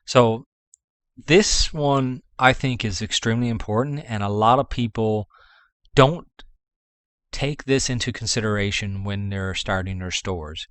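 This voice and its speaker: A man's voice: lowish voice, a little slow, a bit bright, dry recording. A man with a Canadian accent, giving rambling business advice in a casual vlog. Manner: rambling, with restarts and fillers. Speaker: dropshipping vlogger.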